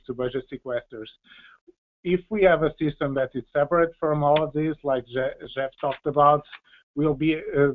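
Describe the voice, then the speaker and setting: A man answering questions as a policy expert in an explanatory panel discussion, speaking haltingly as he searches for words. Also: medium pitch. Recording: tinny, phone-like band-limited audio.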